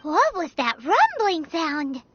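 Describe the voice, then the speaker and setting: A woman voicing a tiny, childlike fairy companion in an anime-style video game, squeaky, excitable, cheeky and greedy. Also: slow, exaggerated voice. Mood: hesitant, put out. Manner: squeaky, excitable, speaks of herself in third person. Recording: dull lo-fi game capture with music.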